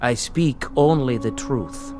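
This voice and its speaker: A male actor playing a ghostly former prisoner in a radio drama, measured, taunting and menacingly polite as he accuses. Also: medium pitch, music in the background, lightly acted. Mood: accusing.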